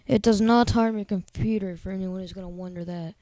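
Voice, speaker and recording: Chatty male tutorial host, squeaky high voice with a soft, mellow edge, dry close sound. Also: fairly even intonation, brisk, dry recording. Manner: rambling, chatty, lots of filler words. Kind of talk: casual screen-recording tutorial.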